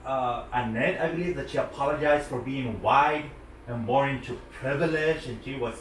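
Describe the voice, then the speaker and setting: A man's voice: mid-range voice, moderate pace, fairly bright tone. Man in a very echoey room. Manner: hesitant, repeats words when starting. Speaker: interviewer. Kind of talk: interview questions. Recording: very echoey room, distant mic, faint noise.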